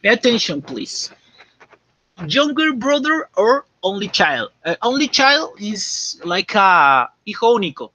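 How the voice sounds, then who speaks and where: A man teaching English, dictating slowly and checking often that students understand. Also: sits high, big pitch swings, bright, forceful tone. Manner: slow and repetitive, checking understanding. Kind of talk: classroom dictation lesson. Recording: dull lo-fi classroom recording.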